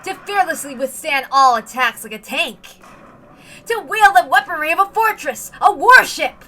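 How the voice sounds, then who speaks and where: A woman's voice: high-pitched, lively intonation, moderate pace, bright, forceful tone, pitched above the performer's own voice. A woman voicing a rousing military promotional video announcer in a grandiose, proclaiming manner. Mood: proud, rousing.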